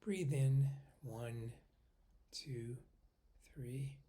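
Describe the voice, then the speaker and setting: Slow-paced man guiding a meditation. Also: mid-range voice. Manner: unhurried, pausing between short phrases, often trailing off softly. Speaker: meditation guide.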